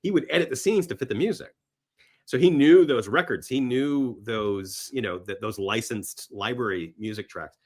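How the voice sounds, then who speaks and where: A man chatting casually and enthusiastically as a podcast guest about films, halting and rambling, sometimes voicing imagined reactions. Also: medium pitch, a little mellow. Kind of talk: casual film talk in an interview.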